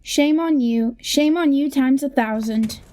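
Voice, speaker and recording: A woman's voice: high voice, a little slow, background noise. A woman playing a chirpy TV news anchor in a parody newscast for a radio play. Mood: upbeat, polished.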